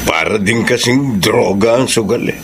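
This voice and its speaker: A man's voice: on the low side, normal speed, a bit bright. An actor playing a concerned older Filipino father giving advice in a radio drama. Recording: noisy ambience behind the voice.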